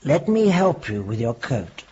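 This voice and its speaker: Husky, kindly old vicar. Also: male voice, medium pitch, very animated, unhurried, muffled recording, exaggerated voice. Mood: soothing, kindly. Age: older. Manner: gentle, avuncular, reassuring. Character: kindly elderly retired vicar with failing sight. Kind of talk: radio drama acting.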